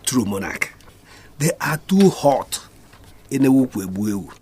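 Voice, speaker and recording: An actor playing a lecherous, boisterous wealthy Nigerian chief in a radio drama, exclaiming and teasing. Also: male voice, medium pitch, lively intonation, a little slow, darkish tone, slight background noise, natural voice. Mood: lustful glee. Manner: exclamatory, teasing, slipping into Igbo and Pidgin.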